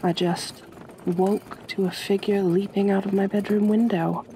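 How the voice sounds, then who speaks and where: A woman playing a kind, possessive aristocratic vampire mistress in an ASMR roleplay, speaking gently in a measured, genteel, soothing way. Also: medium pitch, level tone, a little hiss or hum, close to an everyday voice. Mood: tender, soothing.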